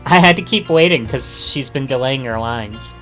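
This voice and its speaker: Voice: medium pitch, normal speed, pushed and bright, soft background music. A streamer reading visual novel text aloud and adding casual commentary.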